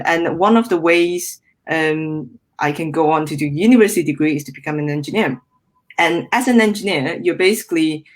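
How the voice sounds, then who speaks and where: A young woman from Malaysia, speaking as a guest in a podcast interview. Her voice sits a little low for a woman. She explains in a friendly way, often pausing on "um" and repeating words as she goes. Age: young adult. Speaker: guest engineer.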